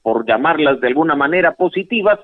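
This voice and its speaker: A fairly high-voiced man comes through a narrow, tinny phone line. He explains legal matters calmly and at length, with occasional hesitations. The sound is dry and close. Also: fairly quick, no room sound. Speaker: lawyer and legal analyst guest. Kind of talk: phone interview on radio. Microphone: telephone line.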